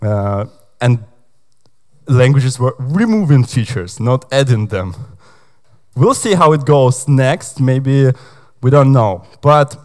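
A man's voice: mid-range voice, animated, slow, a little mellow. A young man from Ukraine giving a conference talk on stage, chatty and hesitant, with lots of fillers. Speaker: conference speaker.

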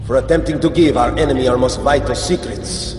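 An actor playing a cruel general who speaks in a slow, menacing, commanding way. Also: male voice, medium pitch, a little mellow, a little hiss or hum, lightly acted. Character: cruel middle-aged male general. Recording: roomy hall, far from mic, music.

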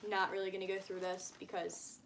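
A woman's voice: mid-range voice, completely flat delivery, a little fast, background noise. A nineteen-year-old woman chatting casually in a shopping haul vlog, rambling and gushing with lots of filler "like" and exaggeration. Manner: rambling, gushing, uses frequent "like" and hyperbole. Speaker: vlogger showing shopping haul. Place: indoors, small room with curtain backdrop.

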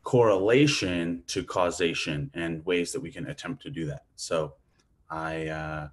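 A man from Hawaii lectures over a video call in a very low voice. He talks casually, thinking aloud with plenty of fillers, into a webcam mic in a small room. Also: measured pace.

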